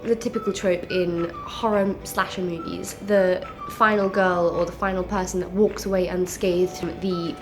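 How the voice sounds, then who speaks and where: A woman's voice: fairly low pitch, little pitch movement, normal speed, hardly any pauses, over music. Congested woman with a South African-English twang. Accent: mix of South African and English. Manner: chatty, enthusiastic, rambling. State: congested with a cold, nasal. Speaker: book vlogger. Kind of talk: casual book chat to camera.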